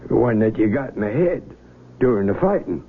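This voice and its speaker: An actor playing a calm, authoritative, middle-aged army surgeon major with an American accent, fatherly and measured, in 1970s radio drama style. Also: lowish voice. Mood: reassuring. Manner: measured, soothing, probing. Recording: tinny, lightly noisy old radio broadcast.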